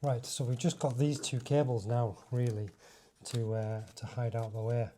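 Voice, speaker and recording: A man with a dark, relaxed voice at a middling pitch talks through a hands-on job step by step. He is informal and seems to think aloud as he works, like a vlogger showing viewers a fitting. There is faint noise behind his voice. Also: moderate pace. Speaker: motovlogger presenting a fitting tutorial. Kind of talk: casual hands-on demonstration, talking through each step.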